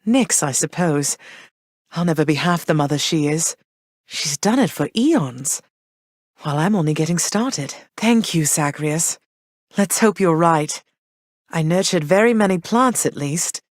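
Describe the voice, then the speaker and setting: An actress voicing a gentle, motherly goddess queen of the underworld, speaking warmly and graciously in game voice lines. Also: low-pitched, lively intonation, a little slow, no room sound. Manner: warm, gracious, softly reassuring. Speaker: voice actor. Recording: dry studio voice recording.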